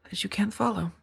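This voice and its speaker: Husky, soft-spoken woman acting a gentle Jedi. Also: medium pitch, exaggerated voice. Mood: solemn, torn. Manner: hesitant, halting, breathy murmur with sighs. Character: gentle, earnest young female Jedi, tenderly conflicted. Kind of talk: soft-spoken ASMR roleplay audio drama.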